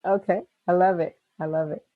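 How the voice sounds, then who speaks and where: Husky woman with a slight Jamaican accent. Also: on the low side, steady tone, moderate pace, no echo, phone-quality sound. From Panama. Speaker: guest, medical interpreting executive. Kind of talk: casual interview answers.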